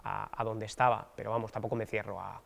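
A man in his thirties, a political candidate, giving thoughtful, hesitant interview answers with many fillers and restarts on a dark studio set. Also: lowish voice, flat delivery, fast, gravelly, big-room sound, slight background noise. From Basque Country, Spain.

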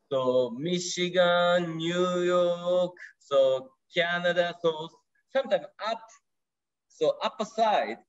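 A Japanese-accented man talks slowly in short, broken phrases, his voice bouncing up and down a lot. He sounds excited and laughs easily. The video call audio is dull and lo-fi. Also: fairly high pitch. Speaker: guest angler. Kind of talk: casual interview. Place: home room.

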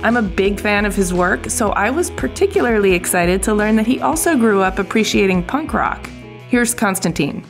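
A woman's voice: medium pitch, lively intonation, normal speed. A woman hosting an interview podcast, who reads a scripted introduction and then asks questions in an upbeat manner. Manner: upbeat, with easy laughter. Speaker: podcast host. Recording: music bed behind voice.

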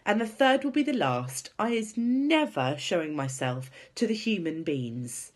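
A woman's voice: mid-range voice, big pitch swings, measured pace, pitched above the performer's own voice. A woman voicing a big, friendly but stubborn male giant who talks in muddled grammar and invented words for a storybook. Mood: firm, resigned.

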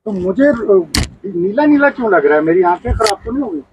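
A man's voice: high voice, sing-song, brisk, a little mellow, highs cut off, slight background noise. A man in his late thirties hosting a shop visit, enthusiastic and joking, full of exclamations. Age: 30s. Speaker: vlog host. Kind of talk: casual shop visit chat. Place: busy indoor dress shop.

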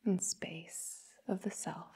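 Very slow, breathy, whispery woman guiding meditation. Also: fairly low pitch, fairly even intonation. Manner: gentle, soothing, long pauses between short phrases. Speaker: meditation guide. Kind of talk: guided meditation narration.

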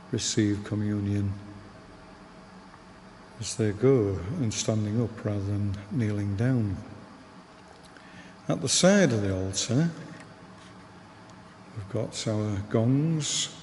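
A man with a Northern English accent, giving a slow, gentle guided tour inside a large church, recorded on a handheld camera. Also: lowish voice. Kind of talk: unscripted guided tour voice-over. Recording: reverberant church hall, faint background noise.

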